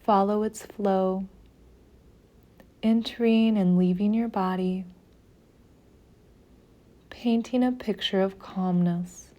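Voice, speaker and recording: Female meditation guide: soothing, mellow tone, very slow measured delivery with long pauses. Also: medium pitch, steady tone.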